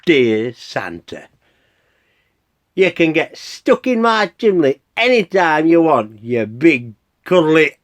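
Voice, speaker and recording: A high-pitched, swooping, exasperated Santa. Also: male voice, very animated, takes it slowly. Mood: weary, amused. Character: exasperated Santa Claus wading through his fan mail. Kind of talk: radio play acting.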